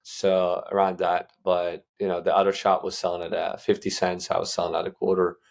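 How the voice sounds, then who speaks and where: Deep gravelly young man, easygoing. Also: completely flat delivery, fairly quick. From Uzbekistan. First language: Russian. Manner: loose, filler-heavy, many restarts. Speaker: guest entrepreneur. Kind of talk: casual interview answers.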